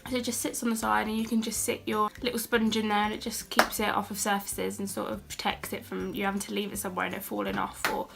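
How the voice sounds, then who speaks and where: A young British woman with a cold and a slightly croaky voice, chatting casually and self-deprecatingly as she shows a shopping haul on her channel. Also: medium pitch, monotone, fast, hardly any pauses, over loud music. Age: young adult. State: has a cold, a bit croaky. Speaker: beauty and haul vlogger. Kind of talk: casual haul vlog chat.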